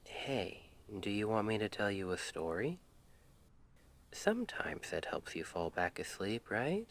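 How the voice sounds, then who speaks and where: A man playing a tender, playful boyfriend in an ASMR roleplay, speaking in a gentle, intimate half-whisper to soothe his partner to sleep. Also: low-pitched, exaggerated voice. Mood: tender, soothing. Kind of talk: ASMR roleplay, soft bedtime storytelling.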